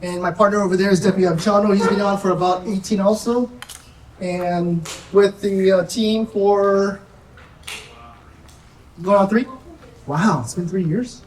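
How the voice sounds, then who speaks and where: A soft-spoken woman working as a sheriff's deputy, presenting safety topics to residents in a nervous, chatty way. She talks at a middle pitch. Her voice is picked up from a distance and echoes around the room, with faint noise behind it. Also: steady tone, a little slow, long pauses.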